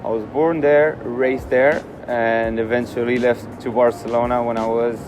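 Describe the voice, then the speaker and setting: A young man from Morocco answers questions in a relaxed, candid way. He leans on plenty of "uh"s as he thinks aloud. His voice sits at an ordinary middle pitch, with a clear music bed underneath. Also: moderate pace. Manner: candid, easygoing, uses many fillers. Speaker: interviewee. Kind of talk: casual interview answers.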